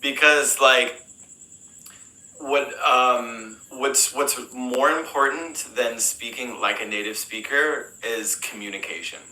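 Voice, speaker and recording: An American man, an English teacher, answering interview questions in a relaxed, rambling way, full of fillers and restarts. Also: mid-range voice, slow, reverberant, low-quality recording. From United States.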